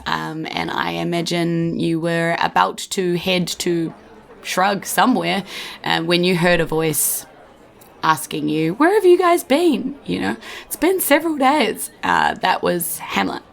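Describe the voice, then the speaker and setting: A woman's voice: fairly low pitch, gravelly, fairly bright tone. A woman with a New Zealand accent, narrating a tabletop game as dungeon master in a chatty way, with frequent laughs and filler words. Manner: chatty, laughs often, many ums.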